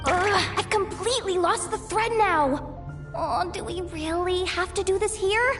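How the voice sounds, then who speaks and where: A woman's voice: very high-pitched, a little slow, over music. A woman voicing a small, cowardly video game sidekick with a whining, panicky, exaggerated delivery. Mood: whiny, fearful. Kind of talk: video game character dialogue.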